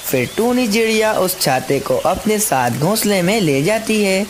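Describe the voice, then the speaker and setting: A woman's voice: mid-range voice, animated, unhurried, a little hiss or hum. A woman reading scripted narration for a children's cartoon story.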